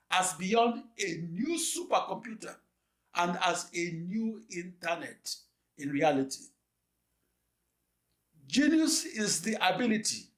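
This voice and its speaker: A man delivering a scripted lecture in a slow, measured, emphatic way. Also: sits high, echoey room.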